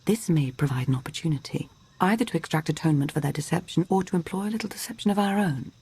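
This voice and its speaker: A woman's voice: sits low, animated, fast, mellow, breathy edge, heavily acted. A woman voicing a shrewd imperial adviser in a video game, articulate and formal with dry wit. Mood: calculating. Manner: articulate, formal, dryly witty. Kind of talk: video game character acting.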